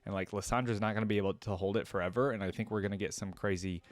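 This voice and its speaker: Male reaction creator, on the low side, informal and slangy, reads lore aloud, very dry sound. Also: steady tone, racing, dry recording. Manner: informal, uses slang like dude and man. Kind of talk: casual reaction commentary mixed with reading lore aloud.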